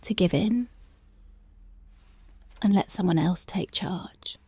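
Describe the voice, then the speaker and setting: A woman playing a strict, dominant female police officer in an ASMR roleplay, slow, taunting and intimate, often slipping into whispers. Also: fairly low pitch, warm tone, slightly rough, dry recording, full character voice. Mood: teasing, coaxing.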